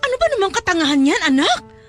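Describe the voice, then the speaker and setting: A woman's voice: very high-pitched, very animated, quick pace, over music. A woman playing a domineering mother in a radio drama, nagging and cutting in her delivery. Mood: angry scolding. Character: domineering, scolding mother pushing daughter toward money. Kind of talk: Tagalog radio drama acting.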